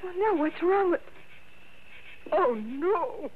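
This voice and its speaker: A high excitable wifey, old radio drama, thin tinny recording. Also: female voice, slow pace, a little hiss or hum, exaggerated voice. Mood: alarmed. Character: sociable, affectionate wife, easily alarmed. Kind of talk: 1960s radio drama acting.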